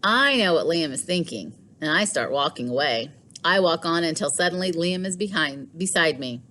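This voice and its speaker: A woman teacher reading a storybook aloud to children in an expressive way, with deliberate dramatic pauses. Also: deep voice, a little hiss or hum.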